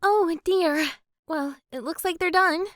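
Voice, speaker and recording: Woman as very high-pitched, sweet club president girl. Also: slow. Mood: reassuring. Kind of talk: anime visual novel fan dub acting.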